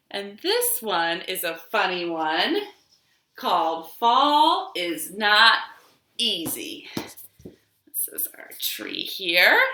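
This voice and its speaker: A woman's voice: lowish voice, sharp tone. A woman leading a children's storytime with rhymes, talking in a sing-song way to small children in a living room. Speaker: children's librarian hosting storytime. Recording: roomy, echoey home recording.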